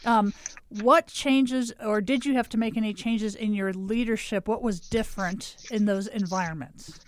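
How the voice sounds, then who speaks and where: A woman's voice: medium pitch, normal speed, no room sound. Curious woman interviewer, dry and close. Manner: inquisitive, curious. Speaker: interviewer, leadership teacher. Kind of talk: interview questions.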